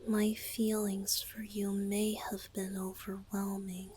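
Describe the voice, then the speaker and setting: A woman voicing a tender, playful young male bard spirit in a soft, breathy whisper for a romantic ASMR roleplay, speaking in a halting, heartfelt way. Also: medium pitch, level tone, slight background noise, lightly acted. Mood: tender, confessional.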